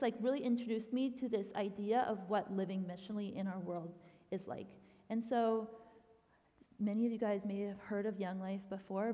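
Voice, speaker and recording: A young woman gives a casual guest talk at a church, chatty and informal with plenty of "um" and "like." Her voice rings with a long hall reverb, and the recording sounds somewhat dull and lo-fi. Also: medium pitch. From grew up in Thailand. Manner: chatty, frequent "um" and "like". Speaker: youth ministry director, guest speaker.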